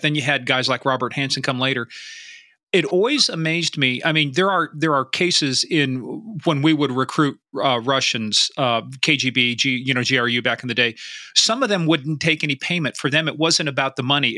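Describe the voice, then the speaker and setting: Sharp, blunt-talking male host. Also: on the high side, no echo, clean studio sound. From grew up partly in Iran. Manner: blunt, swears, chatty. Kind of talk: casual podcast interview.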